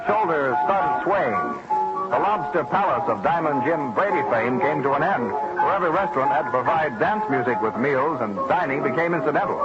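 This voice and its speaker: An American man narrates in old-time radio style, with a dramatic, declamatory delivery. His fairly high voice swings widely in pitch, on a dull vintage recording with music playing behind him.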